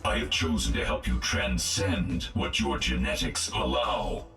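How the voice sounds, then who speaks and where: An actor voicing a cold, arrogant robot villain from a video game, speaking deliberately and with condescension through robotic processing. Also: male voice, a bass voice, a bit bright, faint music behind, exaggerated voice. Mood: cold condescending.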